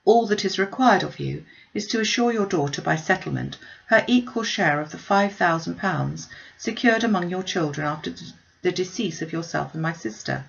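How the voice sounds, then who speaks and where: A woman's voice: lowish voice, gravelly. A woman narrating an audiobook, reading a classic novel aloud. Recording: slightly dull, muffled recording.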